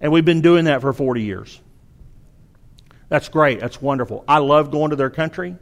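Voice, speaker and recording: A man's voice: fairly high pitch, moderate pace, vocal fry. A middle-aged man with a Southern accent from Arkansas, preaching a sermon in an earnest, exhorting way, often restarting his sentences.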